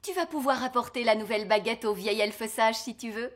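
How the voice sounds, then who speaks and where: A woman voicing a brisk, chatty grown-up fairyland character in a children's cartoon. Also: a little high, close to an everyday voice. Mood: cheerful.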